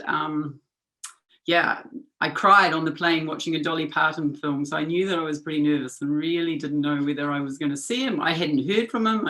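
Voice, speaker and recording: A woman in her fifties with a New Zealand accent, a guest recounting anecdotes in a lively way in a podcast interview. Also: sits low, rough recording. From South Island of New Zealand. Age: 50s.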